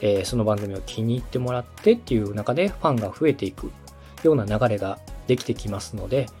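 A man's voice: on the low side, lively intonation, fast talker, dark tone, soft background music. A man hosting a podcast, explaining step by step in a polite, instructive coaching style. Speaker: podcast host and radio director giving advice.

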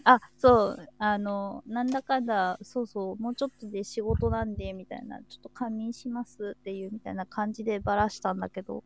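Creaky-voiced woman in joking casual chat. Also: medium pitch, steady tone, fairly quick, hardly any pauses, low-quality recording. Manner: joking, teasing banter. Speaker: host. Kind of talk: casual chat on an audio livestream.

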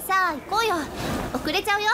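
A woman playing a breezy, blunt, tomboyish high school girl in a radio drama. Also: fast talker, heavy background noise.

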